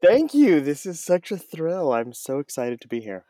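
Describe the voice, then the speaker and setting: A man's voice: fairly high pitch, big pitch swings, normal speed, dark tone, no room sound, studio quality. A man speaking as an interview guest, an audiobook narrator talking conversationally and enthusiastically, stretching words for emphasis.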